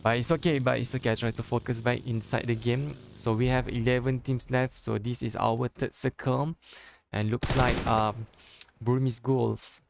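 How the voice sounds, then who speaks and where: A man from Malaysia with a Malaysian accent, non-native English, giving halting play-by-play commentary for a mobile battle game, repeating and restarting phrases. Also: medium pitch, creaky, background noise. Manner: gets excited during fights. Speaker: esports caster.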